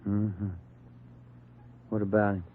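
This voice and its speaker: A man's voice: lowish voice, completely flat delivery, takes it slowly, narrow, tinny audio, a little hiss or hum. An American man playing an alert, loyal, plain-spoken police detective's partner in a 1940s radio drama. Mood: subdued, casual. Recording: thin old radio recording, loud music.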